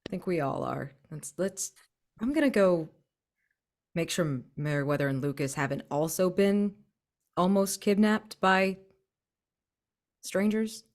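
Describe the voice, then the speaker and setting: A woman's voice: on the low side, lively intonation, a little slow, long pauses. A woman playing a tabletop roleplaying game, slipping casually between table talk and speaking in character.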